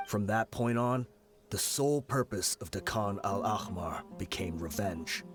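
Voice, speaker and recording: Grave veteran mercenary, played by a male actor, guilt-ridden, lowish voice, solemn storytelling, game dialogue over music. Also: a little slow, natural voice. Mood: vengeful. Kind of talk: video game voice acting.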